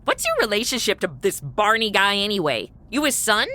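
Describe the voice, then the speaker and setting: A woman's voice: medium pitch, big pitch swings, a little fast, sharp tone. Woman as a snarky modern young woman. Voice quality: snarky, wisecracking. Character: sarcastic modern young woman lost in time. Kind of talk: comedy audio drama acting.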